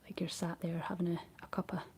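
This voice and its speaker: A Scottish woman whispering a rambling, chatty ASMR talk in a breathy voice, laughing often as she goes. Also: lowish voice, flat delivery, fast, darkish tone, slight background noise. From Scotland. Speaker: ASMR creator.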